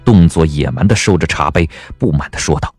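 A man narrating an audiobook drama in a measured, dramatic storytelling manner. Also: extremely low pitch, a little mellow, faint music behind.

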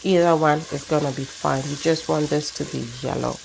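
A woman's voice: deep voice, steady tone, brisk, creaky, a little mellow, slight background noise. A middle-aged woman from Ghana with a Ghanaian accent, chatting through a cooking demonstration outdoors on a backyard patio, explaining each step as she goes. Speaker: cooking channel host. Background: sizzling pan, birds.

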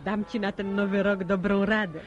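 A woman's voice: medium pitch, level tone, normal speed, dull audio, close to an everyday voice. An actress playing a pert, teasing young village woman in a radio drama. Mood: teasing, cool. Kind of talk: Polish radio drama acting.

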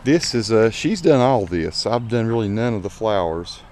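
A man's voice: on the low side, animated, normal speed, darkish tone. A man giving a folksy, unscripted, conversational tour of his home garden, recorded outdoors on an action camera while walking. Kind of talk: casual vlog narration. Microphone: action camera mic.

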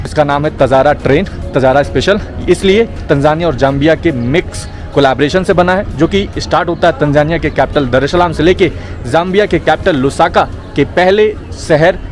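A young man from India, chatting casually to viewers as a travel vlogger, recorded outdoors on a camera held at arm's length. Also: a little high. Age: young adult. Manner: chatty, addresses viewers as brother. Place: outdoors at a busy railway station. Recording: outdoor crowd noise, music behind.

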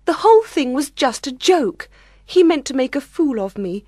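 High, plaintive young bride acted by a woman. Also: very animated, takes it slowly, no room sound, studio quality, heavily acted. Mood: nervous, complaining. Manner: plaintive, nervous. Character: humiliated, tearful, complaining young bride.

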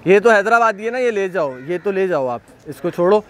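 A man's voice: sits high, animated, brisk, a bit bright. Enthusiastic man touring a goat pen. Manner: enthusiastic, repeats praise often. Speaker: livestock video host. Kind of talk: casual on-site walkthrough commentary. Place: outdoor goat pen at a farm.

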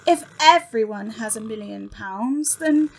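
Crabby, a high-pitched chatty demon minion. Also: female voice, expressive, a little slow, vocal fry, exaggerated voice, rough recording. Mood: anxious, cautioning. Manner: deferential, chatty. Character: Crabby, tipsy sycophantic demon minion of Satan. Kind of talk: audio comedy drama acting.

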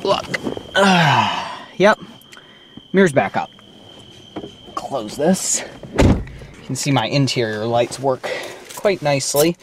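A man's voice: mid-range voice, expressive, takes it slowly, long pauses, noisy background. A young man casually showing off his car in a vlog, rambling with many ums, filmed on a handheld camera in and around his parked car. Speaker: vlogger. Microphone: handheld camera mic.

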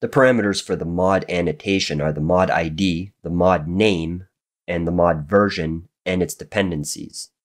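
Man, very low voice, nasal and raspy from a cold, methodical tutorial narration. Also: moderate pace. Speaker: coding tutorial host. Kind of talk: screen-recorded programming tutorial narration.

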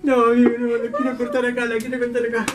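A young man with an Argentine accent talking casually to camera as a prank-video host, nervous, excitable and repeating himself. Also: very high-pitched, quick pace, heavy background noise. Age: young adult. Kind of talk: casual vlog talk to camera. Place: small plain-walled room indoors. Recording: loud music behind.

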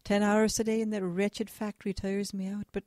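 A woman's voice: medium pitch, level tone, moderate pace, a little mellow, no echo, clean studio sound, close to an everyday voice. A woman playing a weary but loving, strict working mother in a radio drama. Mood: weary, cautioning.

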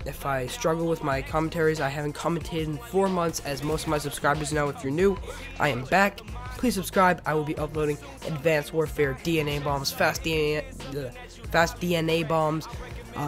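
Teenage boy gamer with a cold, over music. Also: on the high side. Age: teenager, about 15. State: slightly sick. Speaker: gaming video host. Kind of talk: casual gameplay commentary.

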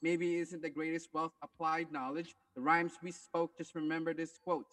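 A man on a group video call, chatting casually and rapping in a measured way. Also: a little high, flat delivery, a bit bright. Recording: distant video-call microphone.

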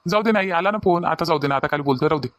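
A mild village family man from a cartoon comedy, voiced by a man. His voice is high and his delivery quick, with a Varhadi Marathi accent. He is deferential and placating, often hesitating and repeating himself. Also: a bit bright, natural voice. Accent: Varhadi (Vidarbha) Marathi.

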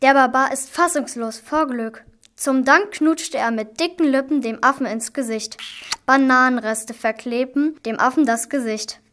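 A woman's voice: sits high, normal speed, fairly bright tone. A primary school girl reading scripted storybook narration as a narrator. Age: children of primary school age. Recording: clearly noisy amateur recording, clicks.

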